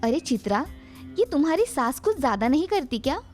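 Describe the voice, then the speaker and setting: Woman playing a high-voiced meddling young friend. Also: very animated, fairly quick, a little mellow, soft background music. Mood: goading. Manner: goading, gossipy. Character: meddling young friend stirring up family trouble.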